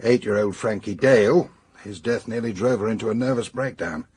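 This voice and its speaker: An actor playing a brisk, businesslike British police superintendent giving matter-of-fact briefings in a radio drama. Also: male voice, lowish voice, darkish tone, slightly rough.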